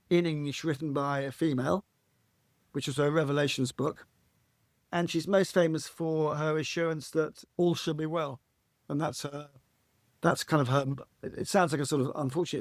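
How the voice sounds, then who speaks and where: A man with a husky voice, a podcast guest talking reflectively and earnestly, often restarting his phrases. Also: on the high side, no echo, clean studio sound. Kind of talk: reflective conversational interview.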